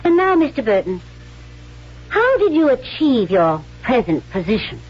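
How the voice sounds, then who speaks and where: A woman's voice: a little high, sing-song, normal speed, husky. An actress in a radio drama playing a spunky, shrewd young woman with a poised, probing, coolly teasing manner. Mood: defiant, cool. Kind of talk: 1970s radio drama acting. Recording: dull vintage radio recording.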